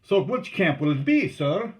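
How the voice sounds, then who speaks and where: A man voicing a cocky rival canoeman in a storybook reading, loud and jovially taunting. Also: fairly high pitch, big pitch swings, unhurried, voice pushed up. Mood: brisk.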